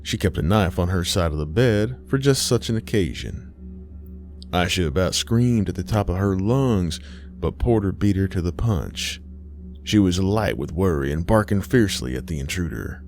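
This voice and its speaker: A man's voice: deep voice, expressive, moderate pace, a little mellow. A man narrating a scripted Southern Gothic horror story in a folksy storytelling manner. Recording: faint music underneath. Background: faint music.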